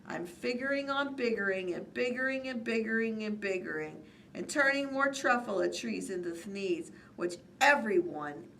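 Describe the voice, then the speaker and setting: A stubborn, greedy factory owner, played by a woman reading aloud yells defiantly in a raised, bright voice. He sounds loud and pushy in this storybook moment. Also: medium pitch, animated, moderate pace, creaky, recorded from a distance, slight background noise, poor audio. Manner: yelling defiantly.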